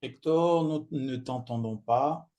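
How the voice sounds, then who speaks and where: Man, meeting chair, polite, slow, mellow tone. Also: mid-range voice.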